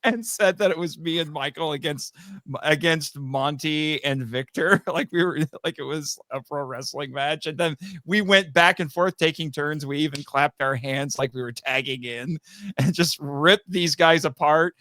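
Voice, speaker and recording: A man with a slightly high, very bright voice chats as a podcast guest. He tells anecdotes in an animated, casual way with frequent chuckles, and the sound is close and dry. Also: normal speed, no echo. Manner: animated, chuckles often while talking. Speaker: podcast guest, voice actor and writer. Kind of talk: casual interview chat, telling anecdotes.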